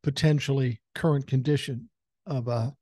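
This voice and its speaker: A man's voice: mid-range voice, fairly even intonation, moderate pace, warm tone, no echo, studio quality. A man in his sixties hosting a podcast from a room at home, talking casually and bluntly and swearing now and then, with a common cold. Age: 60s. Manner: blunt, profane and conversational. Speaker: podcast host. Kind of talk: casual political commentary monologue. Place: home room with a couch behind him. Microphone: large foam-covered dynamic mic close to the mouth.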